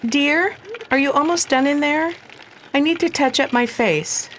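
Actress as a proper, high-voiced preacher's wife. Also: female voice, unhurried. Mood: brisk, reproving. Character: proper, practical preacher's wife, composed and gently firm. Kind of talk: radio drama acting. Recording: faint background noise.

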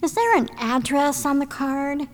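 A woman plays an eager young investigator in a radio play. Her voice is high and perky, with emphatic, friendly delivery. There is slight noise in the recording. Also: lively intonation, a little slow. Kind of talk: audio drama acting.

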